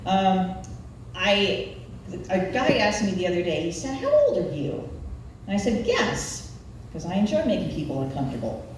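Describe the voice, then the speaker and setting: A woman's voice: fairly low pitch, very animated, normal speed. Echoey noisy room, midwestern woman in her 40s doing standup, wry and self-deprecating, takes her time. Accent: Nebraska Midwestern American. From Nebraska, United States. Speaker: stand-up comedian. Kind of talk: live stand-up comedy routine. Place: live comedy venue. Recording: echoey room, distant mic, background noise.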